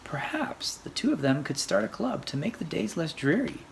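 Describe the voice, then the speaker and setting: An adult storyteller reading a rhyming picture book aloud for children in an expressive, sing-song way. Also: medium pitch, moderate pace, gravelly. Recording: faint background noise.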